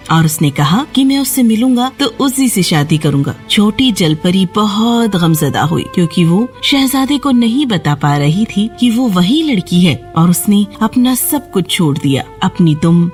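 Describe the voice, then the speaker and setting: A woman narrates a children's fairy tale in a scripted storytelling style. Her pitch is medium with a fairly lively melody, and music plays behind her.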